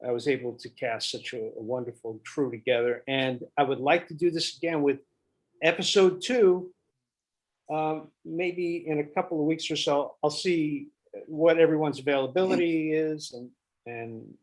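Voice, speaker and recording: A man giving casual directing notes to actors, thinking aloud and often repeating himself in a halting way. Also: fairly high pitch, rough recording. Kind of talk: casual spoken feedback.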